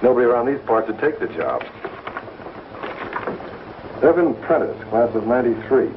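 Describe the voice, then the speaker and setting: An actor playing a polite, earnest mill superintendent in an old Western television drama, speaking in a measured way. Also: male voice, mid-range voice, big pitch swings. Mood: polite, concerned. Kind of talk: 1950s Western television acting. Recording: dull, noisy old TV soundtrack.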